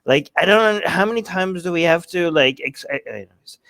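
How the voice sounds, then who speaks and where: Emphatic, higher-pitched man, live talk co-host. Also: expressive, moderate pace, gravelly, fairly bright tone, dry recording. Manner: emphatic, repeats himself, seeks agreement with "right?" and "okay?". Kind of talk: opinionated live discussion with viewer chat.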